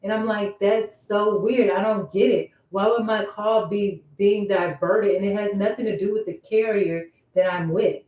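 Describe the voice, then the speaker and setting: A woman's voice: medium pitch, steady tone, moderate pace, few pauses, highs cut off. A woman talking casually in a personal vlog monologue, circling back over her points and posing rhetorical questions. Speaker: vlogger. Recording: roomy echo, distant microphone.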